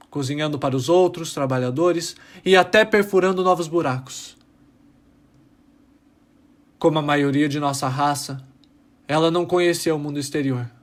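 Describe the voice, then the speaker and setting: Man playing young alien rebel, slightly high voice, bitter, indignant, deliberate, theatrical, sci-fi audio drama testimony. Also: fairly bright tone, natural voice. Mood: solemn. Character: bitter young alien miner turned revolutionary.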